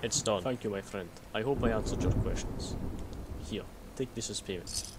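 A man's voice: fairly low pitch, normal speed, very noisy, natural voice. A man voicing a grateful adult male quest giver in a game, speaking politely. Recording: loud game rain behind voice.

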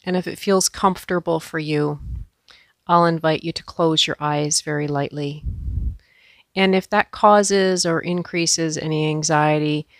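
Female yoga instructor for guided meditation; gentle, pausing delivery, noticeable vocal fry, very dry sound. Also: deep voice, steady tone, no room sound. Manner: gentle, unhurried, with pauses.

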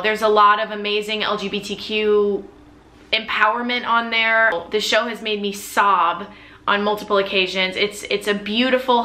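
A woman's voice: medium pitch, pushed and bright. A young adult woman with an American accent chats casually and enthusiastically to camera, sharing recommendations with emphatic, chatty delivery. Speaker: vlogger. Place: living room at home. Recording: roomy indoor echo.

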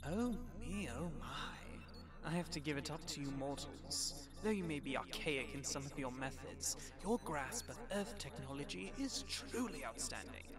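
A man's voice: a little high, animated, a little slow, over music. A man voicing a smug, theatrical trickster god in a fan audio roleplay, with pompous, formal, taunting speech. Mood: amused, scheming.

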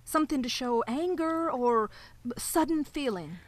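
Actress as a high, jumpy sheriff's wife, hesitant, dry close sound. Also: female voice, animated, unhurried, dry recording. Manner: hesitant, nervous, dutiful. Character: timid prim sheriff's wife, middle-aged woman. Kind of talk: live audio drama. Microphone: home closet mic, dry.

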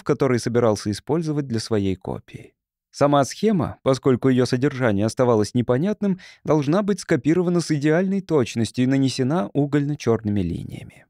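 A man's voice: mid-range voice, mellow, no room sound, studio quality. A man narrating a literary audiobook from a script.

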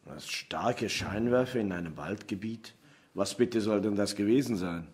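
An actor playing a blunt, sceptical police inspector in crime radio drama dialogue. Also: male voice, on the low side, normal speed, darkish tone, breathy edge.

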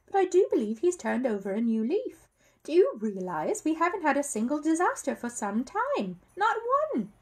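A woman voicing a genteel middle-aged mother who chats in a bright, raised voice. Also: high voice, very animated, moderate pace, pitched above the performer's own voice. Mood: wondering.

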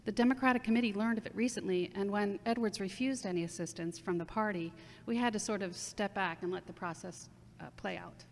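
A woman's voice: medium pitch, lightly acted. A woman playing a solemn, composed city mayor speaking diplomatically in a radio interview, in an amateur audio drama. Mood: solemn, diplomatic. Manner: measured, diplomatic. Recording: reverberant hall-like sound.

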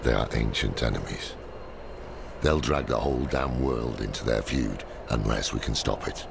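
Gravelly, rock-bottom deep old mentor. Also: male voice, noisy background, exaggerated voice. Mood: grim. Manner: slow, grave, world-weary. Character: gruff, weary, cynical older male mentor. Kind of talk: dramatic video game acting. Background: game music.

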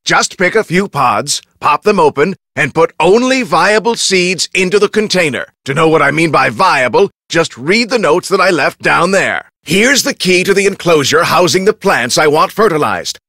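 An actor playing an arrogant, irritable research scientist in a video game, with pompous, formal and brusque speech. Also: male voice, on the high side, expressive, moderate pace, hardly any pauses, sharp tone, no echo, studio quality, heavily acted. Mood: curt, businesslike. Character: irritable, arrogant, self-important male research scientist with explosive temper.

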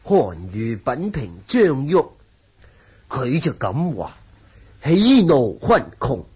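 Excitable bookish wuxia lord, Hong Kong Cantonese, very husky, unhurried, theatrical, radio drama. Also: male voice, mid-range voice, exaggerated voice. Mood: reciting, admiring. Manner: theatrical, savouring recitation. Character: bookish, excitable calligraphy-obsessed manor lord.

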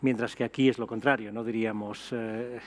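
A man's voice: lowish voice, fairly even intonation, fairly quick. A middle-aged man moderating a panel discussion on an auditorium stage, conversational in tone. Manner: conversational, interjects with brief assent. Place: auditorium stage before an audience.

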